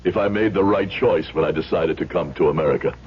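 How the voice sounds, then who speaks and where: An actor playing a proud, principled immigrant shoemaker in a radio drama, speaking formally and emphatically. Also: male voice, sits low, slight background noise, natural voice. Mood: earnest. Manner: formal, emphatic, deliberate speech. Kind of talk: old-time radio drama acting. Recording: muffled old radio recording.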